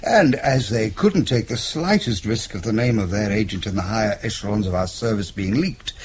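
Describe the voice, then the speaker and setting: An actor playing a suave, calculating British intelligence chief, speaking in an urbane, formal way in a radio drama. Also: male voice, fairly low pitch, animated, darkish tone, slight background noise, lightly acted. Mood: dry, matter-of-fact. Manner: urbane, measured, formal explaining.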